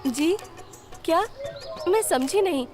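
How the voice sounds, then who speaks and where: A woman's voice: very high voice, expressive, slow pace, pitched above the performer's own voice, heavily acted. A woman voicing a chatty, teasing, hospitable village housewife in a children's cartoon story, exclamatory and full of questions. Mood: puzzled. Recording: music behind the voice.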